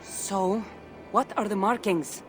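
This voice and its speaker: A woman's voice: mid-range voice, slow pace, over music, natural voice. An actress with a Greek accent playing a wry, teasing, seasoned warrior woman in a video game. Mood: wry, curious. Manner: teasing, dry, bantering. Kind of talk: cinematic video game acting.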